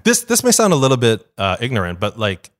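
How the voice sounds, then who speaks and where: A male host asking casual follow-up questions, backing up his guest with quick "right, right, right" replies. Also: mid-range voice, huge pitch swings, fairly bright tone. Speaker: interviewer, host. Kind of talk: casual interview.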